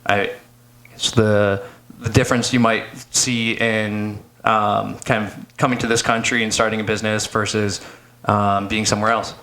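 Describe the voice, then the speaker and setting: Podcast host guy, lowish voice, rambles thru his questions. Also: pushed and bright. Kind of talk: casual interview chat.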